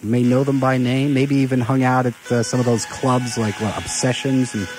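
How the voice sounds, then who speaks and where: A man's voice: medium pitch, flat delivery, moderate pace, darkish tone. Easygoing male radio co-host over music. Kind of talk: casual music chat. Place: radio studio.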